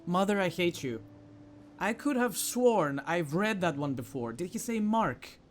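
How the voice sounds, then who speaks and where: A man's voice: high voice, animated, unhurried, slight background noise. A man hosting a gaming video, thinking aloud and grumbling in casual commentary as he plays.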